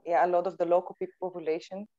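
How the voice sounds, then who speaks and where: A woman moderating a panel discussion on a video call, talking with many pauses and restarts. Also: fairly low pitch, flat delivery, mellow, no room sound. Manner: hesitant, many ums and restarts. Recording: dry, muffled call audio.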